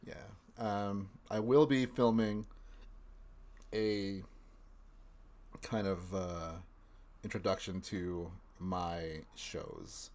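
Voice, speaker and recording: A man's voice: low voice, steady tone, extremely slow, long pauses, darkish tone. A man co-hosting a casual podcast, talking hesitantly with fillers. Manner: hesitant, many fillers and restarts. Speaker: podcast co-host.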